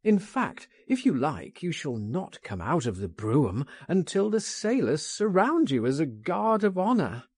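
A bold gentleman , played by a male narrator in a story reading. He is insistent, impatient and persuasive, stressing his points. The delivery is highly animated and slightly husky. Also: a little slow, dry recording, studio quality, heavily acted.

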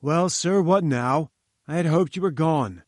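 A booming, overbearing old scientist, as a male reader puts him on, raised in pitch and volume above the narration. He lectures and dismisses with curt impatience. The recording is dry and close. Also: expressive, normal speed, dry recording, lightly acted. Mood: angry, lofty. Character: imperious, irascible older male scientist.

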